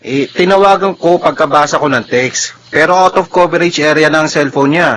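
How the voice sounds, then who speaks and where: A man's voice: on the high side, a little fast, fairly bright tone, close to an everyday voice, low-quality recording. A man playing a worried, apologetic young adult son in a Filipino radio drama.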